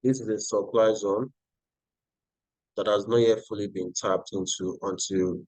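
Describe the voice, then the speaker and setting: A man's voice: lowish voice, steady tone, unhurried, darkish tone, no echo, rough recording. A Nigerian-accented man hosting a live trading stream, talking viewers through charts and answering their chat. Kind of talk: live-stream market analysis.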